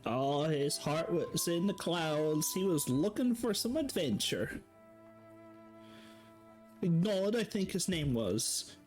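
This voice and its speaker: A woman's voice: low-pitched, expressive, slow, darkish tone, soft background music. A man voicing a kindly old female dwarf shopkeeper who talks sweetly and dotes on customers. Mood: fond. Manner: sweet, doting.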